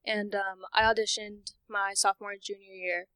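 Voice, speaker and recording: A teenage girl, a high school senior and singer, giving encouraging advice with many fillers. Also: level tone, moderate pace, pushed and bright, clear, resonant tone, no room sound, rough recording. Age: teens.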